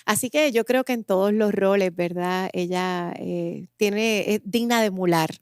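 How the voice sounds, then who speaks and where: A woman's voice: medium pitch, measured pace, clean tone, no room sound, clean studio sound. A woman hosting an interview, introducing her guest and asking questions, and affirming her guest's answers throughout. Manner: warm, affirming, frequent "ujú" backchannels. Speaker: host.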